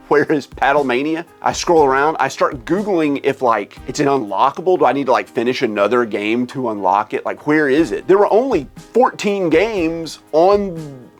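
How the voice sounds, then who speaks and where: A man hosting a gaming vlog, sick with a cold and losing his voice, ranting in an exasperated, animated way from his game room. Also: medium pitch. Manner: exasperated, animated complaining with laughs. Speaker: gaming channel host. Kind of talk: casual vlog rant.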